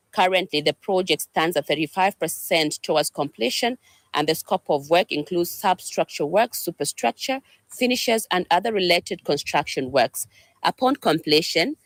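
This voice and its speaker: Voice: mid-range voice, fairly bright tone, no echo. An announcer reading a scripted public notice in a formal manner.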